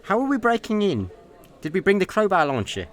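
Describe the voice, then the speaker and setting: A man's voice: high voice, huge pitch swings, fairly quick. A Scottish man playing a bumbling, chatty space layabout in a comedic radio play, rambling and exasperated. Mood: clueless, puzzled.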